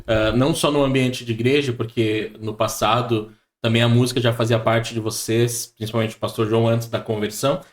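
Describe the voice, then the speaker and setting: A man hosting a relaxed podcast interview, asking slow, hesitant questions full of fillers. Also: medium pitch. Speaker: podcast host. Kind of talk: casual interview.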